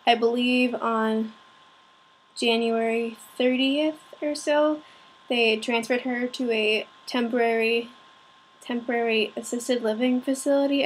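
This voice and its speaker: A young woman with a fairly high, husky voice, sounding slightly sick. She speaks as a vlogger sharing something personal, in a hesitant, informal and self-conscious way. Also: rough recording. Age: young adult, student. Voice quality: raspy. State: slightly sick with a cold-like rasp. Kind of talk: personal talk to camera.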